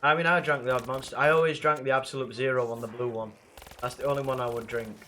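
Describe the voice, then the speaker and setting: A young man with a blocked nose and rough throat, chatting casually and swearing with viewers while playing a game. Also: mid-range voice, steady tone, fast, bright, forceful tone, slight background noise. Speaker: livestreamer playing a zombie game.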